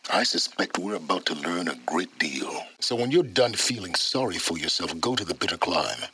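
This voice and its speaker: An actor playing an arrogant, aloof older male scholar of ancient technology in a video game, speaking in a measured, formal, condescending way. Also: low-pitched, expressive, bright, forceful tone, husky, dry recording, full character voice. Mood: dry, condescending. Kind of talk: video game voice acting, dramatic dialogue.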